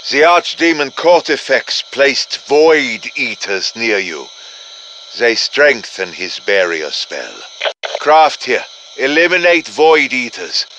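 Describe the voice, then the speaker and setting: A man's voice: mid-range voice, bright, forceful tone, slight background noise, full character voice, rough recording. An actor playing an earnest professor with a German accent, urging on his fighters in dramatic, courtly video game lines. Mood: grave urging. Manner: dramatic, urgent, courtly encouragement. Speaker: game character voice.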